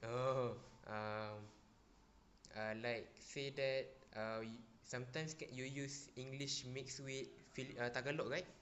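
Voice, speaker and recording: A man's voice: mid-range voice, fairly even intonation, a little slow, fairly bright tone, a little hiss or hum. A man interviewing a friend, asking casual questions hesitantly with many fillers.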